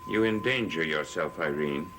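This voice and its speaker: An actor playing a suave, sly occult conspirator in a gothic soap opera, urbane and smoothly ironic. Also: male voice, a bass voice, moderate pace, background noise. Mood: conspiratorial. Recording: old TV audio under loud music.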